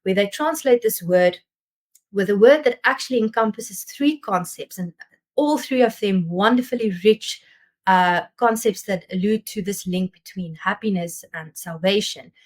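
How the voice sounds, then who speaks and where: Thoughtful South African Afrikaans woman lecturing. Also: medium pitch. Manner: thoughtful, searching for words with frequent pauses. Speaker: theology lecturer. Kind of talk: academic seminar talk. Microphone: video call.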